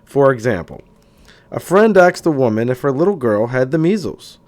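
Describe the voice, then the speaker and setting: A man narrates a spiritual self-help book as an audiobook. His delivery is deliberate and declarative, with pitch that moves up and down in a lively way. He sometimes trips on a word and reads it again.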